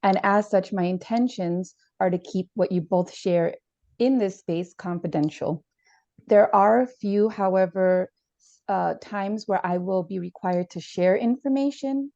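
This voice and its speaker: A formal, hesitant student therapist, played by a woman, filling her pauses with ums. Her voice is gravelly and mellow. The recording sounds dull and dry. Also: medium pitch, no echo. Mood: calm, formal. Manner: hesitant, with many fillers, formal. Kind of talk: role-played counseling session.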